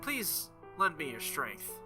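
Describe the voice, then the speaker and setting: A man plays a stubborn, sincere teenage boy in a visual novel, a novice fighter who talks plainly. The voice is bright and a little high, over faint music. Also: wildly swooping pitch, takes it slowly, exaggerated voice. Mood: sincere, apologetic. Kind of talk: visual novel dialogue read aloud.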